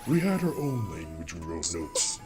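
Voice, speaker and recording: A man's voice: sits low, sing-song, normal speed. A man playing a grown pony king of monsters who recounts his lonely childhood in a solemn, wistful storytelling style. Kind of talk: dramatic first-person storytelling. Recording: music under the voice. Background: music.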